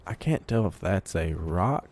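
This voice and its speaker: A man with a congested voice from a cold, giving relaxed let's play commentary, thinking aloud while he plays. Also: deep voice, a little slow. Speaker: gamer.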